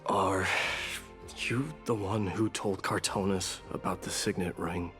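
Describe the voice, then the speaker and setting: A man voicing a stern, scholarly warrior in a video game, speaking in a grave, measured and formal way. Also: deep voice, steady tone, a bit bright. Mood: restrained farewell. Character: solemn, stern, scholarly male warrior with blunt wisdom. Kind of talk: video game voice acting, dramatic dialogue. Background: background music.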